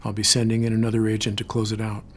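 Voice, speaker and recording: A man's voice: on the low side, completely flat delivery, rapid-fire, a little hiss or hum, natural voice. Man acting stern male boss. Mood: firm, regretful. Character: stern male superior officer, firm, measured, reluctantly disciplining. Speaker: boss of an agent. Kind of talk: audio fiction drama acting.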